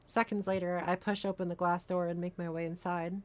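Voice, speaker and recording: A woman's voice: on the low side, level tone, a little fast, natural voice. A woman voicing a nervous, wry first-person protagonist in a visual novel, over music. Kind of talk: visual novel voice acting and narration.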